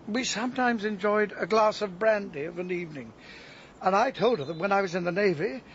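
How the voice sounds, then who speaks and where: Wounded village scoutmaster, high voice, bitter, deliberate, radio drama, muffled sound. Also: male voice, a bit bright. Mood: quietly vengeful. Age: middle-aged. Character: aggrieved, vengeful middle-aged village gardener and scoutmaster. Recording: dull sound, faint background noise.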